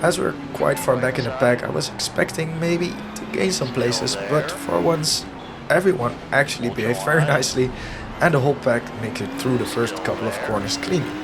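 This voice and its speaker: Man, hoarse voice, middle pitch, analytic gameplay commentary, self-deprecating, clear music underneath. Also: a little fast. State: hoarse, losing his voice. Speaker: sim racing video host. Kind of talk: commentary over gameplay footage. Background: background music.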